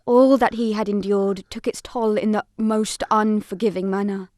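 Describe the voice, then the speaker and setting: A woman's voice: mid-range voice, steady tone, normal speed, a little mellow, no echo, clean studio sound, close to an everyday voice. A woman playing a grave narrator of tragic survivor stories in a scripted audio drama, sober and serious.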